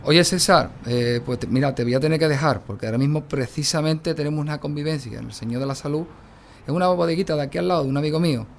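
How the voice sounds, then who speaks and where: A jovial, fatherly costalero from a radio drama, talking with a hearty Andalusian manner. His voice sits a touch high, with faint noise behind him. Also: fast, vocal fry. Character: jovial Sevillian brotherhood bearer, friendly.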